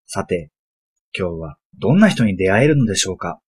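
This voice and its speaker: A man's voice: low-pitched, big pitch swings, brisk, husky. A man reading scripted, storybook-like narration for a comic radio drama.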